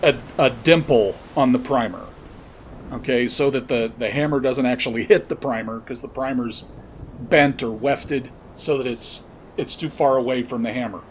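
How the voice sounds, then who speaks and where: A man's voice: mid-range voice, normal speed, a little hiss or hum. A man delivering an opinionated commentary monologue, emphatically spelling out and repeating his points. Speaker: podcast host commentator.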